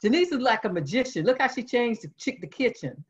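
A woman's voice: on the high side, very animated, fairly quick, slightly rough. A woman instructor co-hosting an informal online nutrition presentation on a video call. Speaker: nutrition class instructor, co-host. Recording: slightly dull video-call audio.

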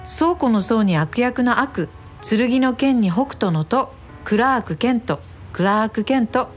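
A woman's voice: on the high side, lively intonation, measured pace, faint music behind. A woman playing a polite, gossipy office assistant in a radio drama, deferential and chatty.

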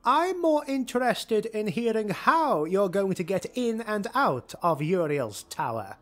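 Man voicing a blunt warrior, growling and distrustful, pitched high. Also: sing-song, a little slow. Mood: suspicious. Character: gruff distrustful male elf warrior.

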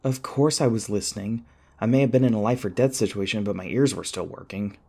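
A man playing an anxious, sarcastic young college student in an audio roleplay, rambling and halting in a wry, flustered way. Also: lowish voice, vocal fry, a little mellow, husky. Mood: curious, wry. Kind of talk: audio roleplay acting, one-sided dialogue.

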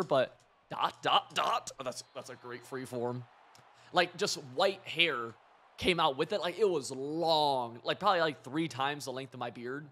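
A hoarse young man talks through a football video game as he plays, hopping from tactics to chatty personal tangents. His voice sits at an ordinary pitch, and he gets loud when a play goes well or badly. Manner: rambling, excitable, swings between coaching and side stories. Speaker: gaming video creator. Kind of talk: live gameplay commentary.

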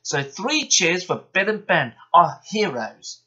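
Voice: medium pitch, big pitch swings, slow pace, bright, forceful tone, breathy edge, dull audio, voice pushed up, exaggerated voice. A storyteller voicing a stern, authoritative male railway controller in a children's story. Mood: proud. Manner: severe, commanding.

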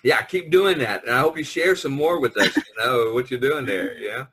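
A middle-aged man hosting a casual group chat over an online call, talking in a rambling, halting way and repeating himself. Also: medium pitch, fairly bright tone. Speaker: podcast host. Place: online video conference call.

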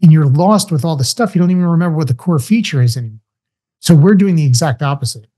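A man's voice: on the high side, lively intonation, mellow. A man in his forties, a company founder guesting on an interview show, explaining how his product works step by step from a small room at home. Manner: brisk, explanatory, walks through steps. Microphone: large studio mic on arm with headphones, video call.

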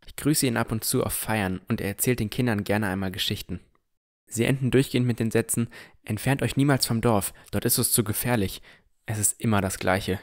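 A man's voice: lowish voice, fairly quick. A man narrating a fantasy audio drama in the first person from a script.

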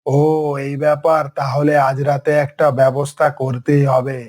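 A man's voice: medium pitch, flat delivery, normal speed, darkish tone, close to an everyday voice. A man voicing an inquisitive, authoritative tiger uncle in a cartoon story. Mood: stern.

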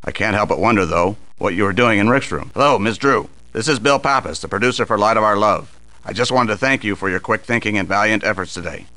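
A brusque soap producer for a video game. He is blunt and businesslike, with quick, forceful delivery and a fairly low pitch, recorded dry. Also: dry recording. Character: brusque, hard-nosed male TV soap producer. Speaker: voice actor. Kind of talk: acted video game dialogue.

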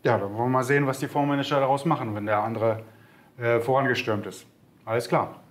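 A man's voice: mid-range voice, steady tone, fast talker. Enthusiastic man with bold market opinions. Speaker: co-host of a broker's market show. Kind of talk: casual market chat.

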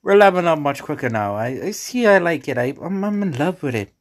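A man's voice: on the high side, sing-song, brisk. A man from the Bahamas with a Bahamian accent, giving casual commentary as he hosts a gaming video.

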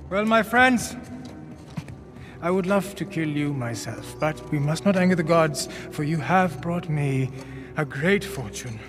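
Game villain: smug, menacing warlord, theatrical and taunting, big hall reverb. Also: male voice, on the high side, expressive, measured pace. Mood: gloating. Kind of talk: dramatic video game cutscene acting. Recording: long hall reverb under music.

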